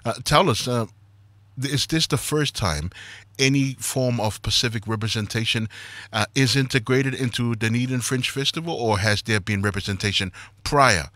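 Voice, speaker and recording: A man's voice: medium pitch, moderate pace, pushed and bright, no room sound. A man hosting a morning radio interview in an easygoing, chatty way. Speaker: radio morning show host.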